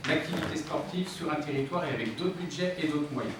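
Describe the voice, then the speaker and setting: A man's voice: on the high side, brisk. A man arguing as a local official in a council debate, insistent and emphatic, repeating his points. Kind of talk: political debate, explaining and arguing. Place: indoor municipal council meeting. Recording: very echoey room, distant mic, noisy.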